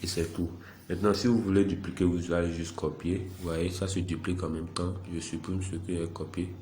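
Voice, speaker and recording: Man with a head cold, low and monotone, guiding viewers step by step; faint noise floor. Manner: unhurried, self-deprecating, narrates each click. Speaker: software tutorial presenter. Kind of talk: screen-recorded app tutorial.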